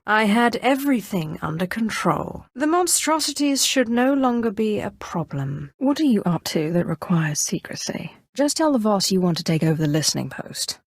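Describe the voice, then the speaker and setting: Low-voiced, imperious Sith sorceress. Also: female voice, no room sound, studio quality. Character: commanding Sith sorceress, cold, haughty, calculating adult woman. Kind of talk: video game character dialogue.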